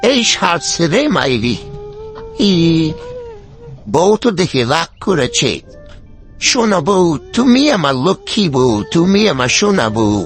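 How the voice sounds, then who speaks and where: A man's voice: high-pitched, big pitch swings, measured pace, soft background music, heavily acted. A man voicing a henpecked, lazy older fox husband in a cartoon, wheedling and full of exclamations. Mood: coaxing. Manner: wheedling, exclamatory, colloquial village talk. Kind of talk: cartoon story dialogue.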